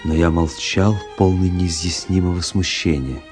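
Actor as deep-voiced brooding officer, over music. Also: male voice, fairly even intonation, moderate pace, natural voice. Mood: brooding, measured. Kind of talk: literary radio play narration.

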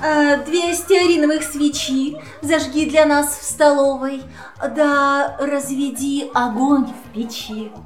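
A woman's voice: sits high, steady tone, takes it slowly, echoey room, faint music behind. A woman voicing an elegant, gracious cat hostess in a children's audio play, genteel and cooing. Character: elegant, gracious female cat, lady of the house.

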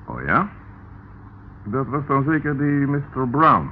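An actor playing a brisk, insistent police inspector in a radio play, firm, commanding and businesslike. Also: male voice, medium pitch, very animated. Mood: firm, pressing. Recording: narrow, tinny old radio recording, faint noise.

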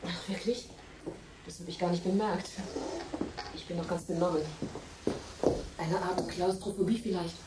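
An actress playing a frightened woman in a radio play, whose halting, whispered voice seems taken over by something from the house's past. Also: fairly low pitch, steady tone, echoey room, very noisy, natural voice. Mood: dazed. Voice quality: trembling, halting, often whispering.

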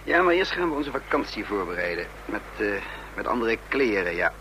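A man's voice: fairly low pitch, very animated, brisk, bright, forceful tone. An actor playing a resourceful, wry and composed man on the run who narrates his own story in a Dutch radio play. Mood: wry, confiding. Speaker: narrator and lead character. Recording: noisy old radio drama recording.

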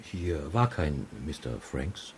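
An actor playing a reserved drugstore owner who answers questions tersely and deliberately in a radio crime drama. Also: male voice, very deep voice, sing-song, a little mellow, a little hiss or hum, full character voice. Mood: reserved, matter-of-fact. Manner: laconic, deliberate.

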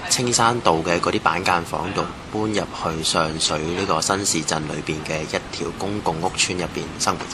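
A man from Hong Kong with a local accent, giving thoughtful, halting interview answers with some English words mixed in. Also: low voice. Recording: clearly noisy ambient background. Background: ambient noise.

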